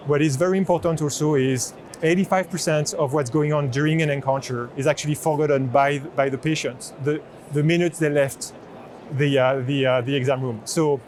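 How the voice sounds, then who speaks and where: A man gives a spontaneous product demo in an explanatory, halting manner. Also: fairly high pitch, darkish tone. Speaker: chief product officer giving a product demo. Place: indoor trade show booth in large exhibition hall. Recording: busy convention hall background noise. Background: exhibition hall noise.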